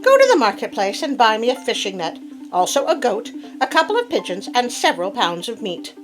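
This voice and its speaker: A woman voicing a clever, confident young innkeeper's daughter in a storybook, calm and matter-of-fact. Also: on the high side, fairly quick, over loud music.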